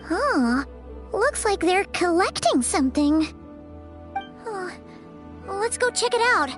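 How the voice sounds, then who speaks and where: A woman voicing a small, chatty, easily spooked fairy-like girl companion in a video game, with a childlike squeaky voice. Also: very animated, slow pace, full character voice. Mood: curious, uneasy. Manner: refers to self in third person, exclamatory. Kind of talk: animated video game dialogue. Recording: music behind the voice.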